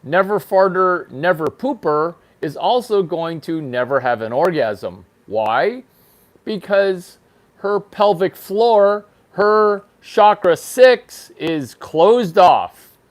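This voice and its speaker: A man hosting his own channel, rambling through a crude comedy monologue in a mock-lecture manner with sudden jumps in pitch for emphasis. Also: takes it slowly. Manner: rambling, deadpan lecture-style bathroom humor. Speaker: channel host.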